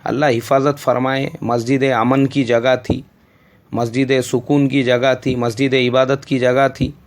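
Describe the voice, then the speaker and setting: A man's voice: mid-range voice, little pitch movement, measured pace. A male Islamic scholar with an Indian accent, answering a listener's question in a scolding, emphatic preaching style.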